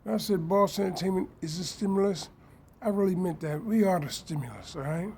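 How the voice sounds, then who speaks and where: A man talks straight to his followers in a casual live stream, repeating his points with emphasis. His voice is dark and mellow, a little rough from a cold he is getting over. A faint hiss of noise sits under his talk. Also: high-pitched, brisk. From New York. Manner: emphatic, repetitive, self-promoting. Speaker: fashion designer and business owner.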